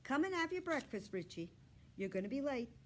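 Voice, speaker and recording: This is a woman reader playing a brisk storybook mother. She calls out insistently in a raised, lively pitch, with faint noise behind her. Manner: calling out, insistent. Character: brisk mother calling her schoolboy son to hurry.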